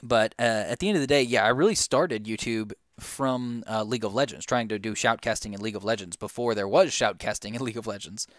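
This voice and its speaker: A man's voice: on the low side, fast, hardly any pauses, a bit bright, dry recording, clean studio sound. A young man answering viewer questions in a casual, rambling way, with lots of fillers and restarts. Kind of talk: casual Q&A chat.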